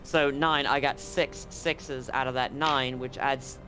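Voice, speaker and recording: A man narrating as game master in a tabletop game, relaxed and full of fillers. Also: mid-range voice, slow, music in the background, poor audio.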